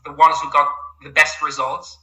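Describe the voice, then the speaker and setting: A young Algerian man in his twenties answers interview questions with polite eagerness. His voice has a very bright, forward tone. He speaks as a PhD student, adding a frequent "absolutely" and a steady, friendly pace. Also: a little high, normal speed, poor audio. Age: 20s.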